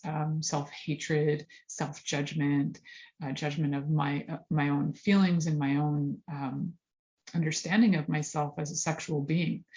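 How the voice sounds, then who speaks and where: A woman speaking candidly in a casual monologue, rambling and correcting herself, often laughing. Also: deep voice. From Serbian family background. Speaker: host. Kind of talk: casual monologue on health and sexuality. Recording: dull lo-fi sound.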